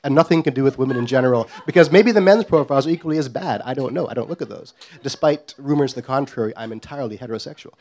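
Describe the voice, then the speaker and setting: Middle-aged guy telling a funny story on stage, dry and self-deprecating, regular pitch. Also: racing, few pauses, darkish tone. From Guyana. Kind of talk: live comedic storytelling.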